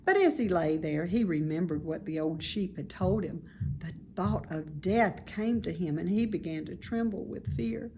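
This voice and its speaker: A woman reading a children's story aloud in expressive narration. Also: on the low side, moderate pace, a little hiss or hum. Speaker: storybook reader.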